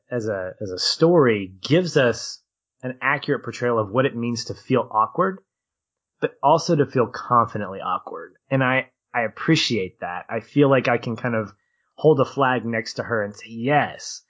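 A man co-hosting a podcast talks through a film in a relaxed, animated way, laughing readily and circling back to restart his thoughts. His voice sits at a middling pitch. The audio is a little dull. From Arkansas. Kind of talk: casual film discussion.

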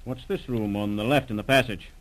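A man's voice: on the low side, a little fast, natural voice. Man as hesitant, uneasy radio doctor. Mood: puzzled, reserved. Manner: hesitant, polite. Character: reserved, uneasy male doctor. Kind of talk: 1940s radio drama acting.

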